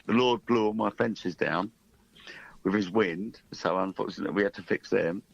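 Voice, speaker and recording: Gravelly man in his fifties, muffled. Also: lowish voice, moderate pace, no echo. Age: 50s. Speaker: musician guest. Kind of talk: casual radio interview chat.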